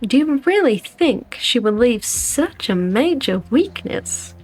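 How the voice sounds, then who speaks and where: A woman's voice: on the high side, big pitch swings, slow, darkish tone, breathy edge, heavily acted, poor audio. A woman voicing a composed, wry company director, coolly evasive and defiant, in a game fan dub. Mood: resigned defiance. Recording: music behind the voice.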